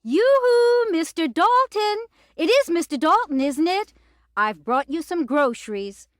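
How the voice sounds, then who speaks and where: Actress doing a chatty housekeeper lady, high pitched, fussy and talky. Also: lively intonation, no echo, studio quality. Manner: chatty, then indignant and hurried. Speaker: cook and housekeeper. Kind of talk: radio drama acting.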